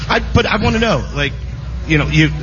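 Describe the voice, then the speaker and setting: A man hosting a sports talk radio show, animated and playful, asking leading questions. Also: a little high, brisk, background noise. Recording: dull lo-fi audio with faint ambience.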